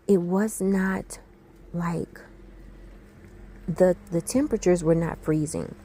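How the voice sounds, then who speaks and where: A woman's voice: lowish voice, mellow. A woman narrating her travel vlog in a chatty, explanatory way, giving practical tips to viewers. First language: not Icelandic. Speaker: travel vlogger.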